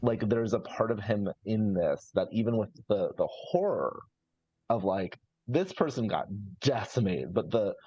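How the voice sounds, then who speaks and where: A man improvising story narration as a player in a live tabletop roleplaying game, with halting, searching, thoughtful phrasing. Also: on the low side, animated, warm tone, slightly rough, lo-fi sound, soft background music.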